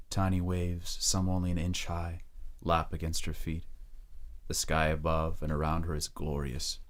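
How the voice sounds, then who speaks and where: Very deep-voiced male narrator. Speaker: audio drama narrator. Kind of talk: scripted dramatic narration.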